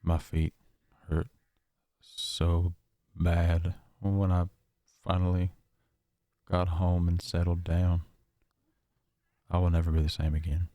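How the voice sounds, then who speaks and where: A haunted, paranoid survivor, acted by a man, leaves a horror audio log in a very low, dark voice. He speaks in halting, confessional phrases with long pauses, keeping to a monotone. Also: no echo. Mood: shaken, apologetic. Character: shaken, paranoid male survivor of a nightmare realm.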